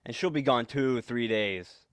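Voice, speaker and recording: A man plays a shifty husband in an old-time radio thriller. His mid-pitched voice is dry and close. He stammers and repeats himself, evasive and nervous, with menace underneath. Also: normal speed, clear, resonant tone, no room sound, close to an everyday voice. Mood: nervous, secretive. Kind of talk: 1940s radio suspense acting.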